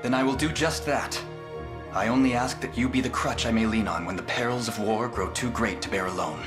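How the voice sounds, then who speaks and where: An actor playing a resolute young prince who speaks formally in a fantasy video game. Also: male voice, medium pitch, brisk, natural voice. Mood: earnest. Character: earnest, resolute young male prince and leader. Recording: game music clearly behind.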